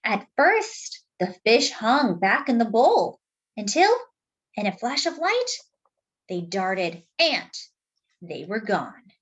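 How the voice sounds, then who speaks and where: A woman reading a picture book aloud to children, expressive and deliberate, dropping in chatty asides. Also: a little high, husky. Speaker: children's story reader.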